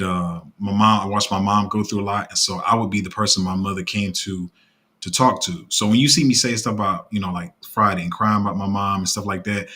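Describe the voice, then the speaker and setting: A man's voice: on the low side, a bit bright, husky. A young man hosting a casual livestream, talking in a heartfelt, rambling way. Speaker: crypto channel host.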